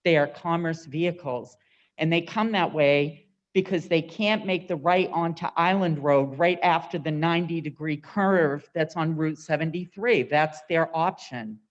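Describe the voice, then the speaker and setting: Woman, deep voice, firm and loud, resident at public comment, dull sound. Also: unhurried. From Maine, USA. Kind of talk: public comment at a town meeting.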